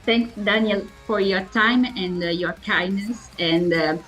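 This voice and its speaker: Slow, halting Italian woman interviewer. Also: mid-range voice, fairly bright tone, faint music behind. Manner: halting, searching for words. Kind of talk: interview questions.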